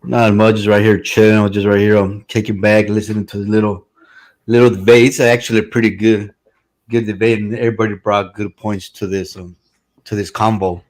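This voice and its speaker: Laid-back Mexican-born man, low voice. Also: brisk, low-quality recording. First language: Spanish. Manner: relaxed, rambling, blunt with profanity. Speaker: caller on a live stream. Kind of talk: casual opinionated chat.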